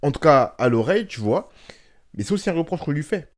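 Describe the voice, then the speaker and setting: A man's voice: on the high side, sing-song, a little fast. A man hosting a casual interview, thinking aloud with frequent hesitations. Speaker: host, interviewer. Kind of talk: casual conversation.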